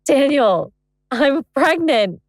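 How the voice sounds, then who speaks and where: Actress as a high-voiced, tender, hopeful young woman. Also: expressive, takes it slowly, no echo, clean studio sound, full character voice. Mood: nervous, hopeful. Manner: tentative, tender, emotional. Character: nervous, hopeful, loving young woman sharing big news. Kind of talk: audio drama dialogue.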